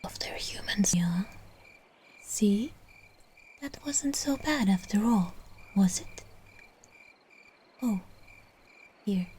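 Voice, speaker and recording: A woman playing a gentle, doting aristocratic female vampire in an ASMR roleplay, whispering softly in a coaxing, genteel manner. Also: medium pitch, slight background noise, close to an everyday voice. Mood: reassuring.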